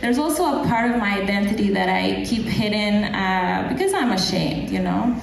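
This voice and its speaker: A woman's voice: medium pitch, expressive, normal speed, vocal fry. A young woman from El Salvador doing a stand-up set on a live stage, with dry, self-deprecating delivery and frequent fillers and restarts. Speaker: stand-up comedian. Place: live stage before an audience. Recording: echoey live room, distant mic, audible audience.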